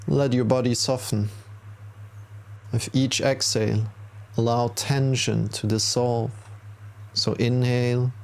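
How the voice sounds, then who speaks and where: A man guiding a yoga nidra meditation as an instructor, soothing and gentle, leaving long pauses between short instructions. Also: mid-range voice, vocal fry. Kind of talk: guided meditation, slow body scan.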